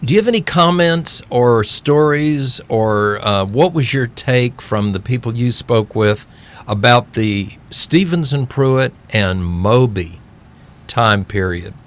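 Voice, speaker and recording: Slow, halting man interviewing a guest. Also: mid-range voice, expressive. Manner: halting, feeling out his questions in fragments. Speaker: interviewer, podcast host. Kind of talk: unscripted interview questions.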